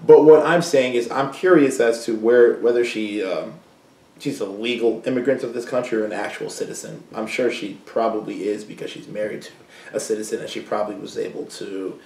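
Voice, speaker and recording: A young man co-hosting a casual chat, animated and slangy, laughing easily. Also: fairly low pitch, a little fast. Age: young adult. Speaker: co-host. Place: small room indoors, seated side by side. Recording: roomy echo, mic at a distance.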